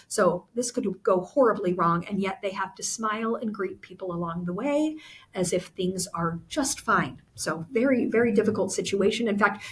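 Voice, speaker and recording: A woman teacher reading a novel aloud to students, expressively, pausing to explain and check that they follow. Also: mid-range voice. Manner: expressive, instructive, checks understanding with rhetorical questions. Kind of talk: classroom read-aloud with explanatory asides to students.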